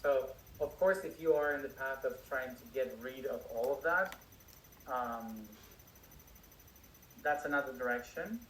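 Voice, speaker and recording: A man answering audience questions as a spiritual teacher, speaking slowly with many restarts and fillers. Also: medium pitch, a bit bright, slight background noise. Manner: slow, searching speech with many restarts and fillers. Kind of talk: live Q&A, unscripted. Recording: echoey room, distant mic, faint crickets.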